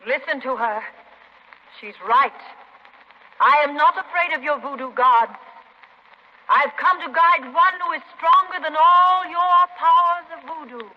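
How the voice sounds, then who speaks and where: A woman's voice: high-pitched, a little slow. A woman playing a brave but anxious young heroine, earnest and urgent, in 1930s radio drama style. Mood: defiant. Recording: muffled, faintly noisy 1930s radio broadcast, long reverb.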